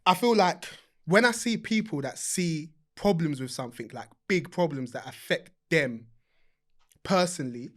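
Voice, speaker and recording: A man's voice: a little high, animated, normal speed, a bit bright. A man hosting a podcast, chatting casually in a laid-back, slangy way. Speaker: podcast host.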